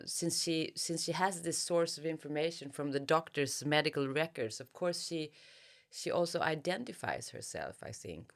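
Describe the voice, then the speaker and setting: Low-pitched, slow-speaking woman in thoughtful interview. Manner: hesitant, searching for words, reflective. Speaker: guest author being interviewed.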